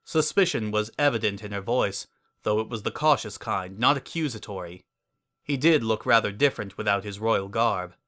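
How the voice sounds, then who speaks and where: A man narrating a scripted story as a dramatic reading, in a measured, solemn manner. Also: on the low side, bright, forceful tone.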